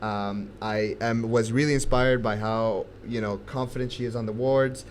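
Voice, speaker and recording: A man's voice: medium pitch, steady tone, normal speed. A young man hosting a casual podcast, chatty, with many fillers and frequent laughs. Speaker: podcast host. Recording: faint background noise.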